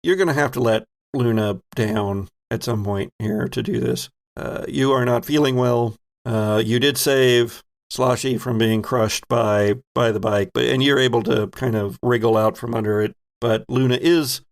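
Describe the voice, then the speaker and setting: A man narrates a tabletop game as its game master, with noticeable vocal fry. His pitch sits in the middle, and he moves between improvised storytelling and playful banter. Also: steady tone, a little slow, few pauses. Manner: playful, conversational.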